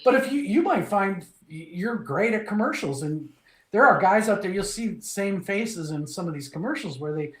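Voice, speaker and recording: A middle-aged male voice actor, originally from Minnesota, telling his career story in an animated, relaxed way, often restarting phrases and acting out quoted lines. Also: high voice, moderate pace. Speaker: voice actor, interview guest. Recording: echoey room.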